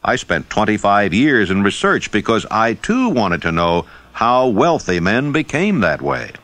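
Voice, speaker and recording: A man reading scripted audiobook narration in a measured, formal and emphatic way. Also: fairly low pitch, sing-song, fairly bright tone, dry recording.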